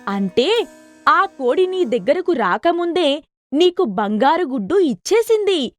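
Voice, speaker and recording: A woman's voice: sits high, sing-song, measured pace, no echo, faint music behind. A woman voicing a wise, patient male wandering holy man in a storybook tale, admonishing and explaining. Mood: amused, explaining.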